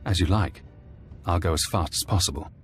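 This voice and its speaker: A man playing a calm, precise intelligence handler in a scripted game cutscene, speaking in a measured, detached way. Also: low voice, soft background music, natural voice. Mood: brisk, detached. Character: calm, clinical male intelligence handler and tech expert.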